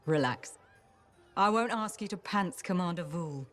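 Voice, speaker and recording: An actress playing a sly fixer who trades favors and teases with a wry, drawling manner, in a game cutscene. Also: female voice, on the low side, expressive, unhurried, soft background music. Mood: teasing. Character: sly female fixer trading favors, teasing. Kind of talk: video game cutscene acting.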